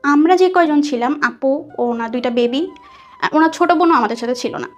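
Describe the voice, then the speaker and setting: A woman's voice: sits high, fairly quick. A young woman with a Bangladeshi accent telling a personal story conversationally as a guest, acting out what people said. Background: background music.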